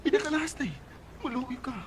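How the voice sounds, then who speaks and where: Sheepish Cebuano Filipino husband, very noisy recording. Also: male voice, very high-pitched, fairly even intonation, brisk. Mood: pleading. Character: irresponsible gambling husband, tricycle driver, sheepish and pleading. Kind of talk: Filipino radio drama acting in Bisaya.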